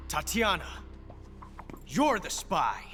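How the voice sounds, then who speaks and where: An actor playing a cocky, suspicious young military officer in a video game, with a sly, taunting delivery. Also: male voice, high-pitched, huge pitch swings, slow, sharp tone, a little hiss or hum, full character voice. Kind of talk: dramatic video game cutscene acting. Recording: music behind the voice.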